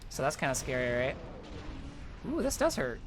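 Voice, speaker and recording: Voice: mid-range voice, huge pitch swings, moderate pace, noisy background. A chatty, informal streamer thinking aloud and explaining each step while playing through an online game. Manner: chatty, informal, mild swearing. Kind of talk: casual game walkthrough commentary.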